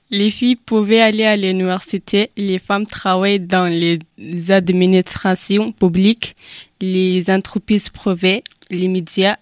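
A woman's voice: medium pitch, dry recording. Young woman, dry close sound. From Afghanistan. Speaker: speaker giving a personal testimony. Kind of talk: scripted testimony read aloud.

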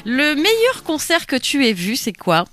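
A woman's voice: high voice, wildly swooping pitch, measured pace, fairly bright tone. A woman hosting a music radio show and interviewing a guest in a casual, chatty, playful and teasing way.

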